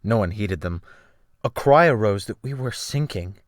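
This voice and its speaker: A man's voice: lowish voice, expressive, dry recording, clean studio sound. A man giving a dramatic, theatrical first-person reading of literary fiction as an audiobook narrator. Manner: theatrical, earnest, deliberate phrasing. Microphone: Blue Snowball USB microphone.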